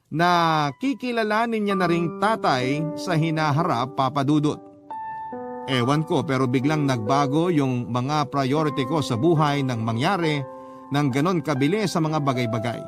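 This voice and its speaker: Man narrating sentimentally over clear music. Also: on the high side. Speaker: radio storyteller narrator. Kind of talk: scripted first-person story narration.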